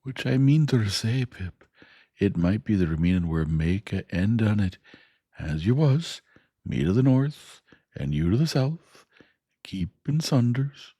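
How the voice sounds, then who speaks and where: A man voicing a plain-spoken, kindly working-class blacksmith with speech, talking slowly and emphatically. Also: on the low side, big pitch swings, mellow, husky, dry recording, studio quality, voice pushed up, heavily acted. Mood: earnest. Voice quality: dialect grammar, slow and emphatic. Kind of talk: audiobook character voice.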